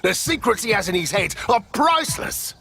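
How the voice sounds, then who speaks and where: Bright, high, feisty cartoon sidekick. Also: male voice, sing-song, normal speed, gravelly, slight background noise, exaggerated voice. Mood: earnest. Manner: earnest, colourful slang. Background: faint music.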